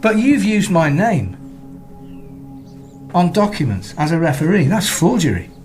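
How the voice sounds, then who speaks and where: An actor playing a bewildered, impatient young British husband in a naturalistic radio drama, blunt and questioning. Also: male voice, on the high side, sing-song, measured pace, darkish tone, faint music behind. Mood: confrontational. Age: young adult.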